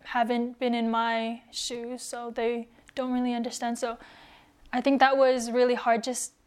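A young woman from the United States, soft-spoken and hesitant, telling of her missionary years in a casual interview. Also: fairly high pitch, flat delivery, a little slow, clean tone. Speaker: returned missionary guest.

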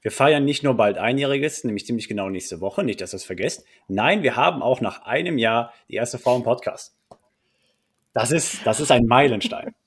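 A man's voice: mid-range voice, normal speed, slightly rough. A man hosting a podcast in a jokey, colloquial manner. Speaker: podcast host.

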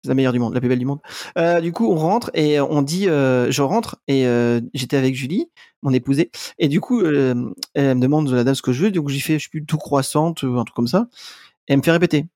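A man's voice: mid-range voice, a little fast, a little mellow, no echo, clean studio sound. A man from France chatting on a podcast in a joking, teasing way. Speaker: guest. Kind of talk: casual podcast chat.

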